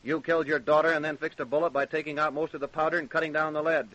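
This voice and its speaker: An actor with an American accent playing a blunt, dogged sheriff who questions suspects curtly in an old-time radio crime drama. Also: male voice, a little high, little pitch movement, racing, a bit bright, close to an everyday voice. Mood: accusing. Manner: curt, pressing questioner. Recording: dull, lo-fi old radio recording.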